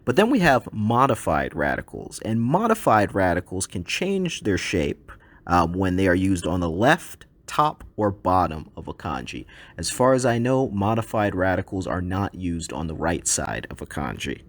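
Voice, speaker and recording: A man with a very deep, low voice explains a recorded slide lesson, speaking English as his first language. He talks in a relaxed, easygoing way, often pausing with ums and uhs. The sound is dry and close, with no room around it. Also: no echo. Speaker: language teacher.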